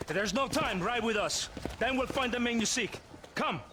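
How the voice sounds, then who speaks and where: A man's voice: extremely high pitch, normal speed, noisy background. A man playing a sly, jovial, lecherous Mexican army captain in a video game cutscene, with a Mexican accent and an oily, theatrical way of talking. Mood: urgent. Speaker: game character actor. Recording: loud horse and outdoor ambience.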